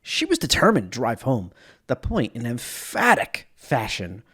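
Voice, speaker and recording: A man giving a dramatic, measured narration of science fiction lore as a storyteller. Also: medium pitch, huge pitch swings, fairly bright tone, slightly rough.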